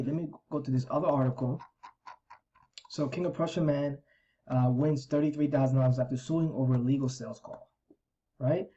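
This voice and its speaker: A man talking casually to viewers as a vlogger, in a halting, repetitive way, often correcting himself. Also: mid-range voice, mellow. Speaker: real estate vlogger. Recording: dull, lo-fi sound.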